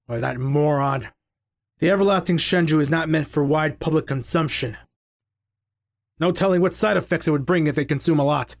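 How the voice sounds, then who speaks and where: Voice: mid-range voice, moderate pace, dry recording, studio quality, lightly acted. A performer playing a stern, protective man who guards a secret, in an audio drama. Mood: indignant.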